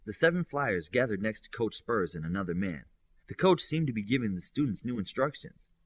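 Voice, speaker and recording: A man's voice: lowish voice, sing-song, fairly quick, slightly rough, no echo. A man reading a scripted science fiction audiobook as its narrator.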